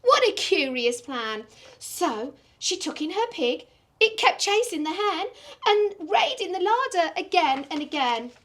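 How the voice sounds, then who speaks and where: Middle-aged woman, children's bedtime storyteller, very high pitch, lively expression. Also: unhurried. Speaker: primary school storyteller.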